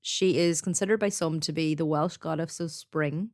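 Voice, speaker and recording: Low-voiced woman podcaster telling folklore. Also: steady tone, normal speed, darkish tone, no room sound, clean studio sound. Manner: explanatory with informal asides. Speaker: podcast co-host. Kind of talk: conversational storytelling about folklore.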